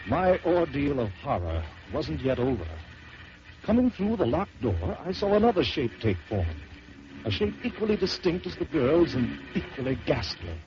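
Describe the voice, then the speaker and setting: A man narrating a first-person horror story in dramatic old-time radio style, swelling and dropping to hushed tones. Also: a little high, big pitch swings, moderate pace. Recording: dull lo-fi old radio recording with hiss.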